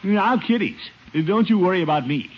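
An actor playing a pompous, exasperated middle-aged uncle in a blustering, theatrical 1950s radio comedy style. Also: male voice, high voice, animated, normal speed. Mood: feigning bravery. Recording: noisy dull old radio recording.

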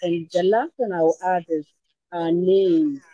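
Female panellist, Ghanaian accent, very dark lowish voice, conversational, dry lo-fi recording. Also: measured pace, dry recording. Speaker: panel guest. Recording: dull, muffled, dry audio.

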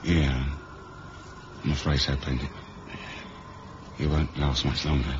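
An actor playing a tough, wry British spy in a 1970s radio drama, speaking in a laconic, colloquial way. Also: male voice, very deep voice, level tone, slow pace. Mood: grim, subdued. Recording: dull, noisy old radio recording.

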